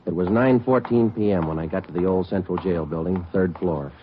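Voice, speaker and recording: An actor playing a terse, deadpan male detective sergeant who narrates and questions in an old radio police drama. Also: low-pitched, fairly quick, close to an everyday voice. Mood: deadpan narration. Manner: clipped, deadpan. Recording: noisy, muffled old radio recording.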